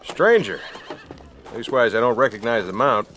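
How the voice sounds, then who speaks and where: Bright, animated western marshal, played by a man. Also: medium pitch, normal speed, slight background noise, close to an everyday voice. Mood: wary, official. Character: bulky small-town western marshal, gruff and cautious. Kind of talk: western audio drama acting.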